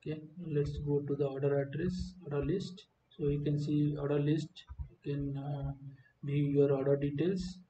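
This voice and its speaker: A man giving an unscripted screen-recording walkthrough as a software demonstrator, speaking in a halting, hesitant way. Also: on the high side, level tone. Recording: muffled, roomy, faint background noise.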